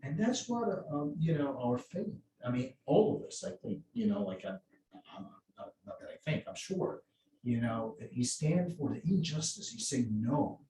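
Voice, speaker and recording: Egyptian guy on a panel, lots of stops and restarts, mellow voice, roomy and far off. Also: on the high side, lively intonation. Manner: halting, many restarts and fillers. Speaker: mosque outreach volunteer. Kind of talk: panel discussion. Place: event room. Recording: roomy distant sound with faint noise.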